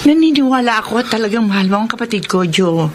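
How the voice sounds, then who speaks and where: An actress playing a level-headed, concerned older sister in a radio drama, speaking earnestly. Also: female voice, fairly high pitch, expressive, quick pace, lightly acted. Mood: concerned, cautious. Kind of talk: Tagalog radio drama acting. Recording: clear background noise and ambience.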